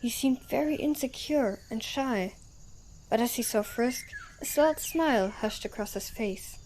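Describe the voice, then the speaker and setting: A woman's voice: a little high, a little slow, darkish tone, a little hiss or hum, rough recording. A woman narrator reading scripted story narration for an audio drama.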